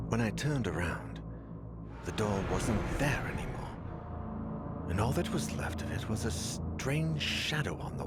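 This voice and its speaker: An actor playing a weary, bitter, haunted man who works in publishing, narrating a horror game in a brooding, dramatic way. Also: on the low side. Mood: desperate.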